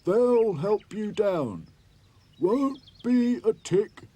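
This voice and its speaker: Brief: man voicing a bumbling boy rag doll, higher than his own voice, drawn-out. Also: animated, mellow, slight background noise, exaggerated voice. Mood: puzzled. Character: small, kindly, bumbling male rag doll.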